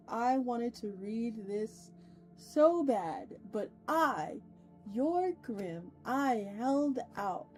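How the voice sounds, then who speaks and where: A woman hosting a livestream, chatting casually with her viewers in a playful way, with chatty asides and drawn-out emphasis. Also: medium pitch. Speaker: VTuber streamer host. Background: soft ambient music.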